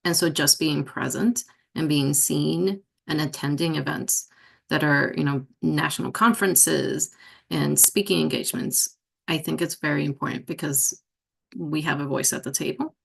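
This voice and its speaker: A woman art therapist speaks on a panel in a slow, thoughtful way. Her voice is very low, heavily gravelly, and husky. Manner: slow, thoughtful, uses "like" and "you know". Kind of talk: panel discussion. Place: university event room.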